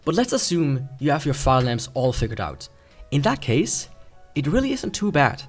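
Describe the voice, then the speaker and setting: A man's voice: fairly high pitch, big pitch swings, moderate pace, soft background music. A man gives a scripted tech review in an explanatory, plain-spoken way.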